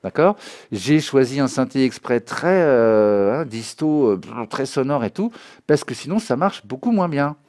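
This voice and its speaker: A man giving an informal spoken tutorial as a music software trainer, explaining as he demonstrates and often checking that listeners follow. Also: mid-range voice, animated, measured pace. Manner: conversational, checks understanding often with short tag questions. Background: music and synth demo sounds.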